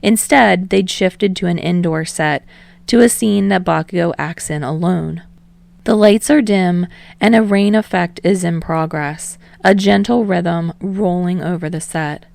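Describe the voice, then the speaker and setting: Creaky-voiced woman narrating fiction. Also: lowish voice, a little slow, a little mellow, no room sound. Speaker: audiobook narrator of fan fiction. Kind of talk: scripted narration.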